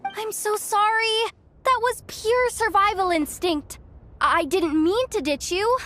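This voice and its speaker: A woman's voice: very high voice, slow, fairly bright tone, soft background music, heavily acted. A woman voicing a plucky, flustered young female technician in an anime video game, often talking to herself. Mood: apologetic.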